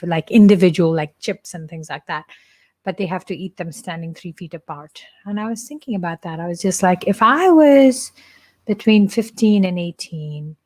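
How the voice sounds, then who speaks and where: A woman's voice: lowish voice, a little mellow. A middle-aged woman from India with an Indian accent, hosting a playful, chatty live stream for her church and joking with viewers. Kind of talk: casual live-stream chat.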